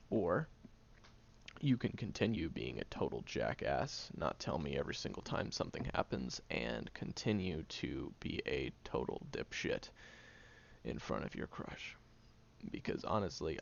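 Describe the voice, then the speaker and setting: Man acting as a very gravelly, brash, caring young guy. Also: exaggerated voice. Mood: exasperated, sarcastic. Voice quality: gravelly, low. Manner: blunt, grumbling, sighing, halting delivery. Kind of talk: audio roleplay acting, one-sided dialogue addressed to the listener. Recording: dull home recording with faint noise.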